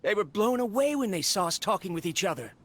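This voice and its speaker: An actor playing a lonely male researcher of mechanical life, talking wistfully and with quiet self-mockery to his robot. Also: high voice, sing-song, fast, heavy background noise. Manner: wistful, quietly self-mocking, gently scolding. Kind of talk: game voice acting, recorded log.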